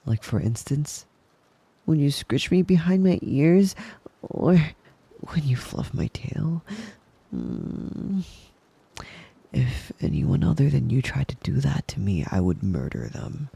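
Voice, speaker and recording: Male actor as doting possessive wolf boy, very dark mellow tone, gravelly fry, intimate ASMR whispering, coaxing. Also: medium pitch, very animated, no echo, full character voice. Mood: possessive, affectionate. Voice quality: breathy, husky, often dropping to a whisper. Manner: slow, coaxing, teasing endearments.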